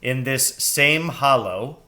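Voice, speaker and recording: A man acts out a grave old owl from a children's storybook. The creature is weary and gloomy, and it delivers each phrase with slow, portentous weight. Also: mid-range voice, pushed and bright. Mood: solemn, mournful. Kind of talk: children's storybook read aloud.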